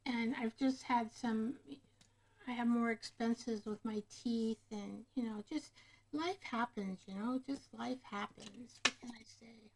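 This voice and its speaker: A woman's voice: a little high, fairly even intonation, measured pace, rough recording. A woman hosting a casual craft livestream, rambling along and chatting directly with her viewers. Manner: rambling, chatty, often addressing viewers by name. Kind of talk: casual live chat with viewers. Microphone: camera microphone.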